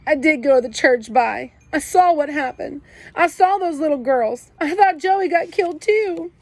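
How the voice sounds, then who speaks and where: A woman's voice: high voice, lively intonation, moderate pace, dry recording, voice pushed up. A woman voicing a tearful ten-year-old boy who is crying and pleading in a choked voice.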